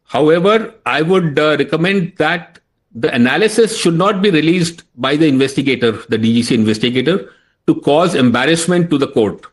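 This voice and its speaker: An Indian-accented man speaking as an aviation expert on a TV news panel, in a halting, methodical way. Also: fairly high pitch, lively intonation. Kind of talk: formal TV debate commentary.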